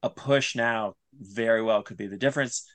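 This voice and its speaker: A man's voice: fairly low pitch, moderate pace, fairly bright tone. A man speaking as a guest creator in a casual, conversational podcast interview. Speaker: podcast guest, writer and audio series creator.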